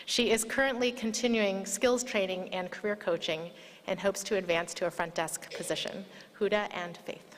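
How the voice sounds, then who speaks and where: Female event speaker, formal scripted introductions, medium pitch, long hall reverb. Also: fairly even intonation, normal speed, noisy background. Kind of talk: formal prepared speech. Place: large indoor hall, public event.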